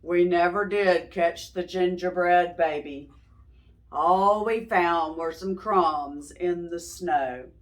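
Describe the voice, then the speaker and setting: Woman reading slow-spoken storybook father. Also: on the low side. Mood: resigned. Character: storybook father, grown man, resigned after a failed chase.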